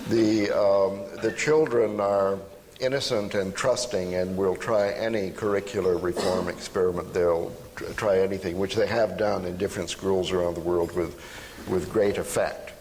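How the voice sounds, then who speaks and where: A man with a fairly low voice talks in a halting, reflective way, with dry humour. He is a panel speaker in an informal discussion. The live recording has long hall reverb and some background noise. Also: steady tone, a little mellow.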